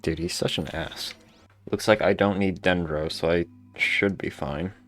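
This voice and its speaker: Low-pitched male gamer, casual commentary, laid-back, slangy, thinking aloud. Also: measured pace, fairly bright tone, soft background music. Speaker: gamer, video host.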